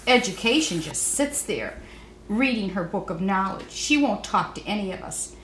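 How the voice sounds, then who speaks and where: A woman's voice: medium pitch, lively intonation, moderate pace, a bit bright, natural voice. Woman acting a plaintive forlorn storybook statue, roomy echo. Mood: sobbing, aggrieved. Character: forlorn statue woman, weeping and aggrieved at being forgotten. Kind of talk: children's storybook read-aloud. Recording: roomy echo, faint background noise.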